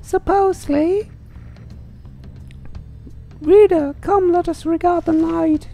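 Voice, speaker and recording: Man putting on a high-voiced stern warrior woman. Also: fairly even intonation, slow pace, creaky, mellow, faint music behind, full character voice. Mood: stern, commanding. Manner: formal, archaic phrasing. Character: stern haughty warrior woman, formal and wary.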